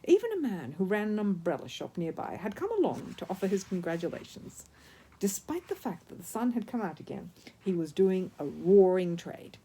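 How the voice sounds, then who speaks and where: A woman reading a children's story aloud as an expressive narrator. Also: on the low side, moderate pace, a little hiss or hum, poor audio. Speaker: storyteller, audiobook reader.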